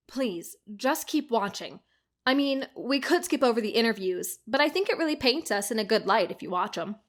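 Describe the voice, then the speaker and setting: A woman's voice: on the high side, animated, moderate pace, natural voice. A woman playing a pleading young woman defending herself in an audio drama. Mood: pleading, sheepish.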